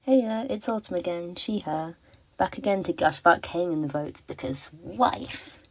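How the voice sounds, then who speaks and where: A woman's voice: fairly low pitch, lively intonation, normal speed, a little hiss or hum, rough recording. A woman recording a listener voice message, giving prepared fan commentary in a wry, playfully dramatic way.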